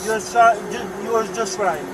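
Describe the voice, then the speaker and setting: A man's voice: high-pitched, normal speed, rough recording. A distressed man with an Albanian accent pleading insistently and repetitively with police officers, apologetic and respectful. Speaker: detained airline passenger. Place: public airport area, police body camera. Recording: body camera audio, busy public crowd.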